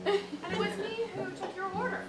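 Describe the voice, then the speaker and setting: Woman, thirties, high voice, theatrical storyteller, full of laughs. Roomy theatre recording from far off. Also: fairly even intonation, a little fast, noisy background. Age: 30s. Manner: storytelling with laughs, mimicking customers. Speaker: solo stage performer. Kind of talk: theatrical monologue. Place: theatre stage with live audience. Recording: roomy theatre sound from a distance. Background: upright bass, audience laughter.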